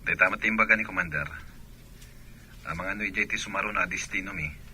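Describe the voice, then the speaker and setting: An actor playing a gruff, evasive, two-timing soldier husband in an Ilocano radio drama, defensive and insistent. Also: male voice, deep voice, fast talker, bright, forceful tone, slight background noise.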